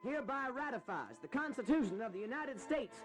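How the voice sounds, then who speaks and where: An actor playing a formal, declamatory announcer of the founding era in a historical audio drama. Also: male voice, extremely high pitch, very animated, fairly quick, over loud music. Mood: proclaiming.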